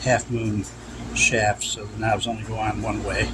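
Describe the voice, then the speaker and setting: A man's voice: lowish voice, monotone, unhurried. Man demonstrating hands-on, very noisy recording. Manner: instructional, repeats cautions. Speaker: vintage radio restorer demonstrating. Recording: loud hum and background noise.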